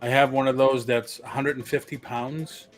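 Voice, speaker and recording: A man in his early sixties talks easily in a casual chat and spins everyday stories as he goes. His voice sits at an ordinary middle pitch for a man, with faint music in the background. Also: level tone, normal speed. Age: 60s. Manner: chatty storyteller, asks questions.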